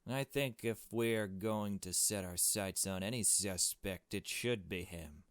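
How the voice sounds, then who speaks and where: A man's voice: fairly low pitch, a little slow, clear, resonant tone, no echo, close to an everyday voice. A man voicing a suspicious, cynical, foul-mouthed young woman sleuth in a visual novel, insistent and blunt. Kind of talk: visual novel dialogue read aloud.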